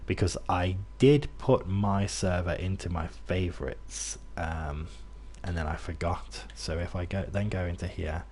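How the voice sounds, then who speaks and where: A young British man with a low, somewhat husky voice talks loosely to his viewers as he plays. His accent is a mixed British one, and he rambles, restarting sentences and filling gaps with ums. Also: measured pace, few pauses, a little mellow. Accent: British, mixed, not Brummie. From England, near Birmingham. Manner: rambling, many restarts and fillers, chatty asides. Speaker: gaming streamer. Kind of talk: casual gameplay commentary to chat.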